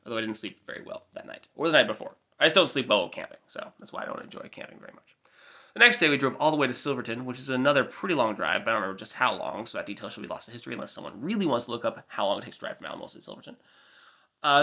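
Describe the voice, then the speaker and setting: A man's voice: medium pitch, bright, forceful tone. A nasal young man giving a wry, rambling recap of a family trip in mock-formal wording. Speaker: vlogger.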